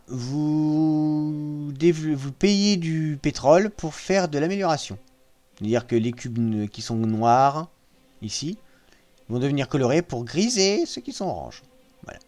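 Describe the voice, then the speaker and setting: A man casually walking viewers through a strategy video game, joking at his own expense and pausing often with fillers. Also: fairly high pitch, a little mellow. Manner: self-mocking, hesitant with frequent fillers. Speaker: gaming channel host. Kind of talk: casual gameplay walkthrough.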